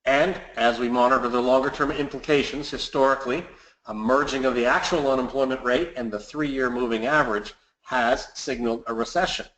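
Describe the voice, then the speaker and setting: A man's voice: mid-range voice, fairly quick, fairly bright tone. A man giving a scripted market commentary as a financial advisor hosting a client webinar, recorded in a roomy, echoey space.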